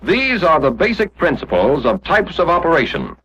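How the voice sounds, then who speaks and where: Casting: male narrator for a 1940s instructional film, animated intonation, didactic scripted read, muffled lo-fi period sound. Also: a little high, moderate pace, slight background noise. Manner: didactic, clearly explanatory, step by step.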